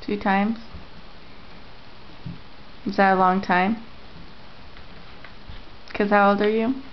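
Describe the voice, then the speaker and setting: A woman interviewing family members at home, repeating their answers back and asking gentle questions. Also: mid-range voice, level tone, slow pace, a little hiss or hum. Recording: lo-fi home camera audio.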